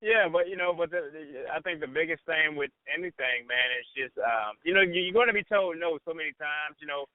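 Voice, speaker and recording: Man, casual interview guest, fairly high pitch, repetitive informal phrasing, tinny phone-in recording. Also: expressive, brisk, few pauses, no echo. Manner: informal, repetitive, peppers talk with "you know" and "man". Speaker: radio personality, interview guest. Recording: band-limited radio phone-in sound.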